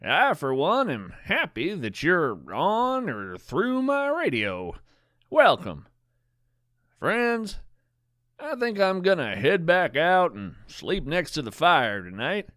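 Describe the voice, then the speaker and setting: A man performs a kindly, eccentric naturalist in an audio drama, whimsical and gentle. His voice is fairly high and swoops widely, with slow, drawn-out phrases and faint music behind it. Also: wildly swooping pitch, no room sound, clean studio sound, full character voice. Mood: warm, content. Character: folksy, kindly eccentric cryptid naturalist living in an RV. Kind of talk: scripted audio fiction monologue.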